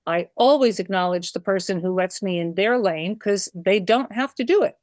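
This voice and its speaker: Loud New York woman podcaster. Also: medium pitch, dry recording, clean studio sound. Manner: loud, brisk, reads listener letters. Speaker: podcast co-host. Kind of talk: casual comedic chat.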